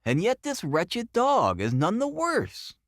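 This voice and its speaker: A man performs a brilliant, intense male detective from a Victorian mystery audiobook. He speaks in a raised, wildly varied pitch, precise and commanding, with theatrical excitability. The sound is close and dry. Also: slow pace, dry recording, exaggerated voice. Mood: agitated.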